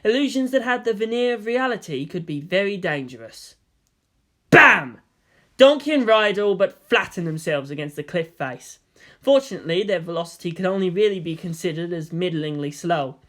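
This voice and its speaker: Fan fiction audiobook narrator: mid-pitched, scripted, expressive storytelling delivery. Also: normal speed.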